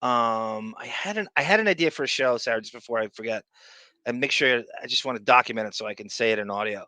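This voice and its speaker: Casting: man, chat podcast host, bright mid-range voice, casual, rambling, repetitive. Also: medium pitch, fast, dry recording. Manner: rambling, repeats phrases, jumps between asides. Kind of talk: casual live chat show.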